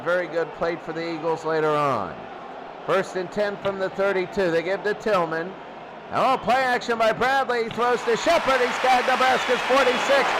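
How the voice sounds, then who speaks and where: A man calling simulated football games as a play-by-play announcer, his voice jumping up and getting loud on big plays. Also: sits high, background noise. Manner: excitable, voice jumps up and gets loud on big plays. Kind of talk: simulated radio play-by-play commentary.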